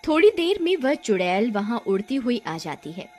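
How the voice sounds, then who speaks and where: A woman's voice: on the high side, big pitch swings, moderate pace, loud music behind the voice. A woman narrating a scripted moral story as a storyteller.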